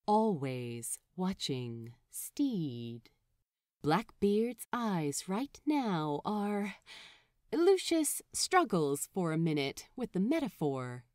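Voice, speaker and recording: Very animated, slow-paced woman narrator. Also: fairly low pitch, no echo, clean studio sound. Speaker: audiobook narrator. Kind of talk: expressive fan fiction narration.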